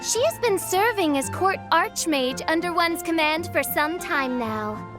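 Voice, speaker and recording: An actress playing a grandiose young princess in an anime game, declaiming in pompous, archaic phrases. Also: female voice, very high voice, expressive, measured pace, over music. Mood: haughty, pleased. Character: grandiose theatrical young princess holding court.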